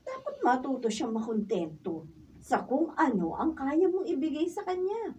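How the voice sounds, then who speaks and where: A woman's voice: high voice, sing-song, moderate pace, darkish tone. A woman with a Filipino accent playing a sensible, understanding middle-aged neighbour who gives frank advice in a radio drama. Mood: earnest advising. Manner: frank, advising. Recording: distant camera mic, faint noise.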